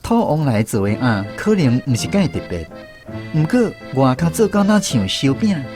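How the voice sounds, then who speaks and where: A man's voice: mid-range voice, big pitch swings, moderate pace, music in the background, lightly acted. A man with a Taiwanese accent narrating a radio drama as a reminiscing storyteller. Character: reminiscing male storyteller of a touring troupe.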